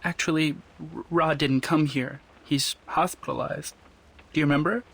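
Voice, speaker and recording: A man's voice: a little high, fairly even intonation, moderate pace, creaky, a bit bright, husky, exaggerated voice. A man voicing a nervous, timid young film crew member, hesitant, apologetic and whiny, in a video game drama.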